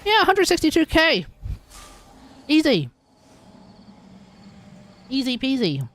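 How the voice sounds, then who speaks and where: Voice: high-pitched, wildly swooping pitch, slow, fairly bright tone, a little hiss or hum. A game livestreamer giving casual live commentary on gameplay, with playful exclamations and muttered asides.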